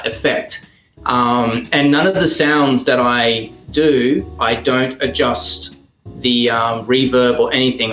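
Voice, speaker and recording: A man's voice: medium pitch, a bit bright. Man, interview guest, hesitant casual explaining, roomy echo, music behind. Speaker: radio drama maker and sound effects artist, interview guest.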